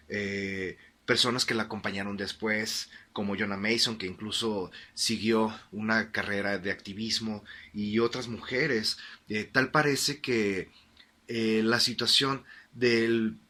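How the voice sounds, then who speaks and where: Man acting as formal radio drama interviewer, bright forceful voice, lowish pitch, inquisitive, hesitant phrasing. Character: male history programme interviewer in futuristic Panem, formal and inquisitive.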